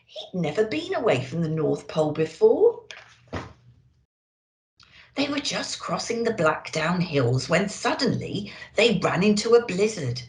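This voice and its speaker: A woman's voice: fairly low pitch, very animated, measured pace. A woman reading a children's story expressively, as if talking to young listeners. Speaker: storyteller in character as an elf. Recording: roomy echo.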